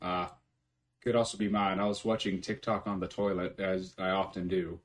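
Male podcast host, low-pitched, relaxed and profane, quick to laugh, home computer mic. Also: slight background noise. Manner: laid-back, swears freely, laughs often. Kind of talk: casual chat.

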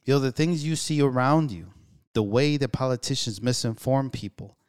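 A man with a smooth, clear voice talking through his thoughts in an earnest, musing monologue. He pauses and restarts often as he works out each idea. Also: mid-range voice. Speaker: podcast host. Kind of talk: solo reflective monologue.

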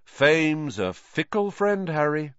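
A man's voice: on the high side, sing-song, takes it slowly, a bit bright, no room sound, dull audio, voice pushed up, exaggerated voice. A man voicing a vain, jolly celebrity wizard with a pompous, effusive, theatrical manner in a storybook reading. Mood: beaming, self-satisfied.

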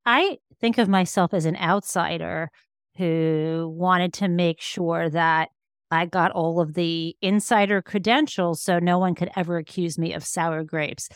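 A woman in her fifties with an American accent and a fairly low voice. She talks in an articulate, reflective way, telling stories about herself in a conversational interview. The sound is very dry, with no room echo. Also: steady tone, a little slow. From Michigan, United States. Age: 50s. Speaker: interview guest, law professor and author. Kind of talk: conversational personal storytelling in an interview.

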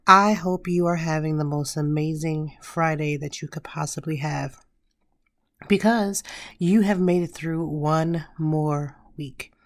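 A woman in her thirties hosting a casual solo podcast, hoarse and raspy from a cold, chatting and telling stories straight to her listeners. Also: low-pitched, steady tone, a little slow, dry recording, studio quality. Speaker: podcast host.

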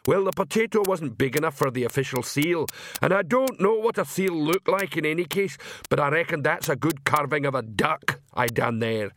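Slow, simple troll guard, higher and unhurried, as a male reader puts him on. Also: fairly bright tone, a little hiss or hum, natural voice. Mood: earnest simple. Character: dim, eager male troll border guard.

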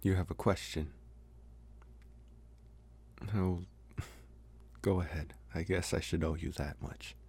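Guy acting as a college vampire boy, dark relaxed husky voice, soft and halting, gently possessive, asmr style. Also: deep voice, full character voice. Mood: amused, casual. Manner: hesitant, soft-spoken, slow with long pauses. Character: young male college vampire, smitten, calm, gently possessive. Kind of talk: ASMR roleplay, intimate one-sided dialogue.

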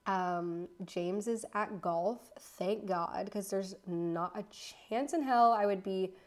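A woman in her thirties from the United States talks casually into her podcast mic at home. She rambles, doubles back and corrects herself, with a slightly nervous edge. Her voice sits at an ordinary middle pitch. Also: takes it slowly. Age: 30s. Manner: chatty and rambling, with restarts and self-corrections. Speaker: podcast host. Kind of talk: casual solo podcast chat. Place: her apartment, indoors.

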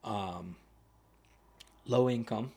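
Low-voiced young man, rambling casually. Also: drawn-out words, creaky, a little mellow, husky. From United States. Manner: rambling, loose, lots of fillers and restarts. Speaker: podcast guest. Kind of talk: casual conversation. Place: podcast studio.

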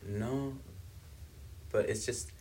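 A man's voice: medium pitch, steady tone, extremely slow, a little mellow, a little hiss or hum. A young man from South Africa whose first languages are Swahili and Kibembe, chatting casually as an interview guest. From South Africa, born in Congo. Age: young adult. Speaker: podcast guest, university student.

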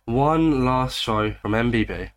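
Young male host, voice gone hoarse, rambling and self-deprecating, casual podcast chat, mid-range pitch. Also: expressive, a little slow, crowd noise. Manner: rambling, chuckling, self-mocking. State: hoarse, losing his voice.

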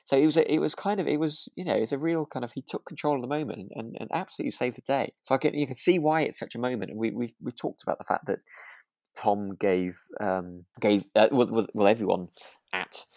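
A British man talks as a podcast co-host in a medium-pitched voice, recorded close and dry. He rambles a little, feeling his way through each story in casual conversation. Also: fast, a little mellow, no echo. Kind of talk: casual chat about a radio drama.

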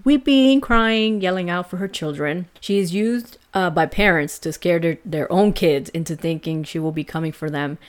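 A young woman from El Salvador tells a ghost story in a relaxed, chatty way and laughs often. Her voice sits a little low for a woman. She hosts a casual podcast and reads long passages aloud. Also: moderate pace, few pauses. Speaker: podcast host.

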